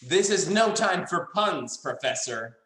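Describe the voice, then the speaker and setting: Woman doing a defiant young guy hero, slow dramatic delivery, roomy sound, sci-fi play reading. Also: on the low side, lively intonation, a bit bright. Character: earnest, defiant young male time-traveling hero, often bewildered.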